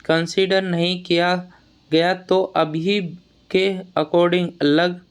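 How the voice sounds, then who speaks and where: High-pitched, smooth-voiced man. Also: level tone, extremely slow. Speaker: exam news vlogger advising job aspirants. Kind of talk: informal explanatory update addressed to students.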